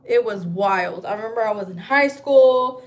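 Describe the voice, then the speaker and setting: A woman's voice: mid-range voice, moderate pace. A woman in her thirties, from Chile, chatting casually on a livestream from home, rambling and swearing freely. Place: at home, indoors.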